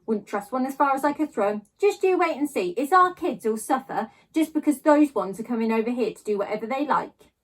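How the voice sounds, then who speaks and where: Woman putting on a sour grumbling grandmother, pitched high. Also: expressive, fairly quick, few pauses, low-quality recording. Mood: scornful. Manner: grumbling, disapproving, emphatic. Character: sour, suspicious, prejudiced grandmother at school gates.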